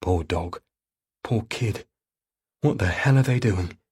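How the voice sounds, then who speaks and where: A man's voice: lowish voice, takes it slowly, a little mellow, breathy edge. A man reading a thriller audiobook as narrator, in a dramatic scripted style.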